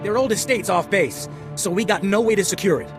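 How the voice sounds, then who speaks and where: Dry unimpressed army guy, kinda high male voice, faint music, game cutscene. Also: expressive, a little fast. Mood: official, curt. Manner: offhand, dry, slightly sardonic. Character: blunt, unimpressed male army officer on base. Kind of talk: scripted video game dialogue.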